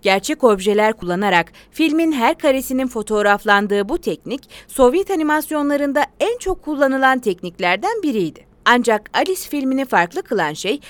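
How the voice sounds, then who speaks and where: A woman's voice: fairly high pitch, dry recording. A woman narrating a scripted documentary-style explainer in an articulated, presentational way.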